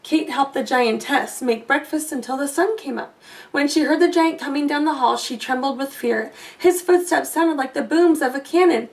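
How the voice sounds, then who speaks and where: A woman reading a storybook aloud expressively for children, pausing to put questions to listeners. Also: high-pitched, far from the mic. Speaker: storybook reader.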